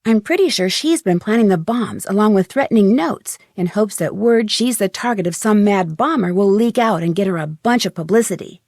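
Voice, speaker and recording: An actress playing a polite, inquisitive young female amateur detective in a video game, speaking earnestly and clearly. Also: mid-range voice, animated, normal speed, few pauses, a little mellow, no echo, clean studio sound. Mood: serious, confiding. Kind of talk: scripted video game dialogue.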